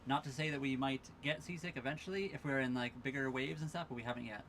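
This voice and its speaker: A man's voice: mid-range voice, fast, fairly bright tone, slight background noise. A tired young man casually chatting on a livestream from his sailboat at a marina, reading viewer questions aloud and thanking followers. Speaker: livestream host. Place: sailboat cabin at a marina dock.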